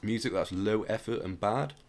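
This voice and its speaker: A man's voice: on the low side, normal speed, vocal fry. A man hosting a podcast, explaining things in a casual, rambling way with plenty of hedging. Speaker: podcast host.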